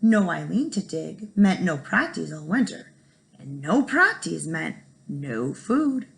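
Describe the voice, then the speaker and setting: Female teacher for a children's read-aloud, animated and emphatic, with a slightly gravelly creak. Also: sits low, slow pace, poor audio. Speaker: first grade teacher reading aloud.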